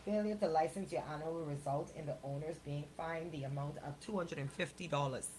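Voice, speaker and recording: A woman's voice: low-pitched, fairly quick, a little mellow. A woman with a Bahamian accent co-hosting a radio show, moving between casual, blunt banter and reading local news. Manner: blunt, colloquial. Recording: clearly noisy radio show audio.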